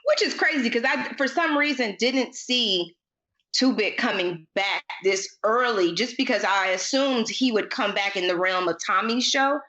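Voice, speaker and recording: A woman's voice: fairly high pitch, measured pace, sharp tone. A woman co-hosting a casual, conversational recap chat, recorded with slightly muffled sound. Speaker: podcast co-host. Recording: slightly dull, band-limited audio.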